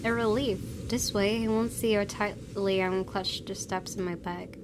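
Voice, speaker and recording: A woman's voice: mid-range voice, fairly even intonation, a little slow. Woman reading a story over noise. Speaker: gaming video host. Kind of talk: reading visual novel narration aloud with asides. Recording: clear background noise.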